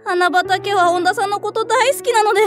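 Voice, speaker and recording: Super high squeaky-bright bubbly office girl, clueless and earnest, audio drama, soft music behind. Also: fairly quick. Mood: anxious, then relieved. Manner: earnest, refers to herself in third person. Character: oblivious, earnest, bubbly young female office worker, cute and childlike. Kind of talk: Japanese voice drama, anime-like acting.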